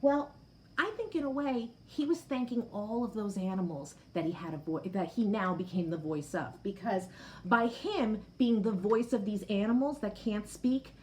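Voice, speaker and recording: A woman reading a picture book aloud to children like a teacher, expressive, pausing to explain and put questions directly to young listeners. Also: medium pitch, slight background noise, rough recording. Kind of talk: storybook read-aloud with explanatory asides.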